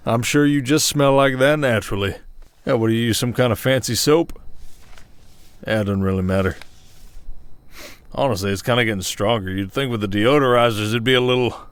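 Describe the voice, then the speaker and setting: A man's voice: medium pitch, fairly quick, long pauses, smooth, no echo, natural voice. A man playing a folksy, hesitant werewolf sheriff with a Southern Old West drawl in an ASMR roleplay. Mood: teasing. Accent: American Southern, Old West drawl. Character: folksy werewolf sheriff of an Old West town, long-lived but young-faced. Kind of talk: roleplay audio acting, ASMR. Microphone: close studio mic, dry.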